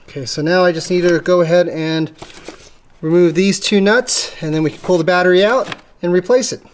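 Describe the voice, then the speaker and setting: A woman's voice: fairly low pitch, brisk. A woman presenting an informal step-by-step repair tutorial, talking casually while working with her hands. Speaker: presenter of a how-to car repair video. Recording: clearly noisy, clicking tool sounds.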